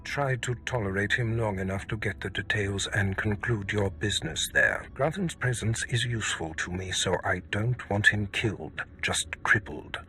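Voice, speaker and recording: A man playing a cruel, imperious Sith lord in a video game, speaking slowly and with menace. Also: lowish voice, animated, sharp tone, soft background music. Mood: commanding. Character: cruel, commanding Sith lord torturing a prisoner. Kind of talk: video game villain acting.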